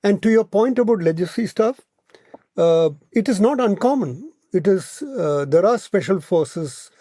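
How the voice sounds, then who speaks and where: A man with an Indian accent explaining things as a podcast guest, in a measured, halting way that often repeats words. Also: high voice, animated, dark tone, no echo, clean studio sound. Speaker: podcast guest, policy analyst.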